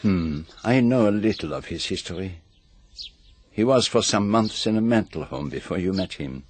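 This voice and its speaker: An actor playing a shrewd, vain and courteous foreign detective in a radio mystery, speaking formally and deliberately with pointed questions. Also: male voice, deep voice, lively intonation, darkish tone, slight background noise. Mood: probing, grave. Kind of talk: British radio mystery drama acting.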